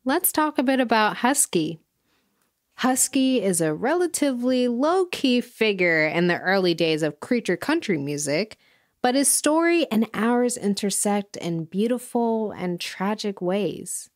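A woman playing a thoughtful music documentary host, narrating a scripted story in a measured way. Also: mid-range voice, very animated, dry recording, studio quality. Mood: measured, inviting.

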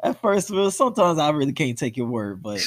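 A man's voice: on the high side, very animated, a little fast. A man co-hosting a movie podcast, talking casually in slang and swearing freely. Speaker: podcast co-host.

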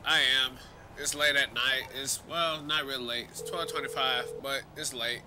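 A man's voice: medium pitch, fairly even intonation, moderate pace, noisy background. A young man with a congested voice giving loose, rambling casual commentary over a video game, swearing now and then. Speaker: gaming commentator.

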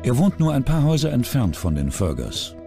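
A man's voice: medium pitch, huge pitch swings, brisk, music in the background. A man reading scripted true-crime documentary narration.

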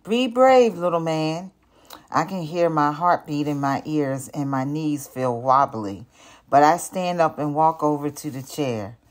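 An older woman, a retired teacher, reading a children's picture book aloud in an expressive, deliberate storytelling manner. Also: deep voice.

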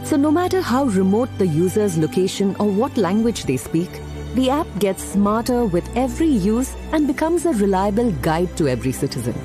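A woman's voice: medium pitch, big pitch swings, moderate pace. A woman reading scripted promotional narration. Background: prominent music.

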